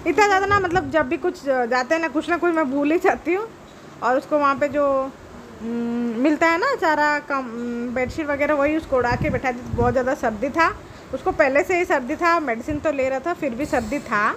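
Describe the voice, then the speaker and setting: A woman in her thirties narrating her train trip for her vlog viewers in a chatty, excited way, talking straight to them. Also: sits high, normal speed, a little hiss or hum, low-quality recording. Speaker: travel vlogger. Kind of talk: casual vlog voice-over.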